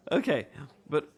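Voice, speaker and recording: A man with a British accent playing a flustered, bashful, adorkable romantic who stammers and rambles apologetically in an intimate ASMR roleplay. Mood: bashful, amused. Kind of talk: intimate ASMR roleplay acting, addressed to listener.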